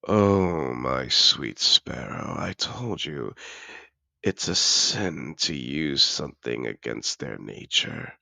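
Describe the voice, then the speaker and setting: Fantasy audio drama: male actor as seductive dragon patron, courtly and possessive, unhurried, close dry sound, deep gravelly murmur. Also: expressive, bright, forceful tone, no room sound, heavily acted. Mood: tender, seductive. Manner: velvety, deliberate, courtly. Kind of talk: intimate fantasy audio roleplay, slow dramatic delivery.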